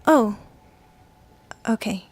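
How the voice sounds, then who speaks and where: An actress playing a timid, earnest young assistant who speaks hesitantly in a radio drama. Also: female voice, drawn-out words, a little mellow.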